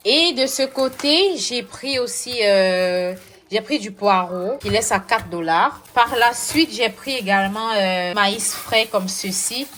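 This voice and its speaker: A woman with a cold chats to her camera at home after a long workday. Her voice is hoarse and tired, and she fills pauses with little fillers. A faint background hiss sits under the camera recording. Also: mid-range voice, fairly bright tone. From now living in Canada. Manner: tired, chatty, many fillers. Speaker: vlogger. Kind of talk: casual vlog chat. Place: home kitchen.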